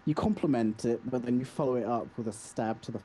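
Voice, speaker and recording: A man's voice: mid-range voice, quick pace, crowd noise. A man in a casual debate as a podcast co-host, teasing and blunt.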